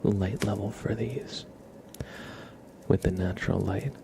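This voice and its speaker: A man hosting an ASMR livestream, speaking softly and casually to viewers in a gentle, rambling, hesitant way. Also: steady tone, slow pace, noisy background. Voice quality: soft, breathy whispering with creaky low undertone. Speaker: livestream host.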